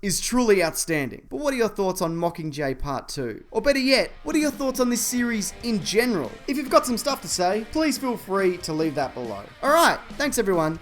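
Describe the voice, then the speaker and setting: A man delivers a scripted comedic film review with wry, deadpan asides, in the manner of a film reviewer and podcast host. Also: high voice, a little fast, hardly any pauses, soft background music.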